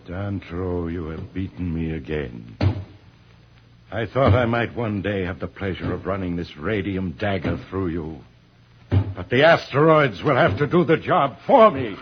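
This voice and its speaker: An actor playing an imperious, scheming villain ruler in an old radio serial, theatrical and threatening, with a husky voice. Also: male voice, low-pitched, animated, measured pace, noisy background. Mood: brooding menace. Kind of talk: 1950s radio serial acting. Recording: dull lo-fi old radio recording.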